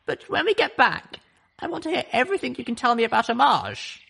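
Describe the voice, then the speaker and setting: A man's voice: very high voice, wildly swooping pitch, a little fast, gravelly, pushed and bright, slightly rough, pitched above the performer's own voice, full character voice, rough recording. A man voicing a weary young unicorn mare. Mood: urgent. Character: small young female unicorn pony, weary, tender.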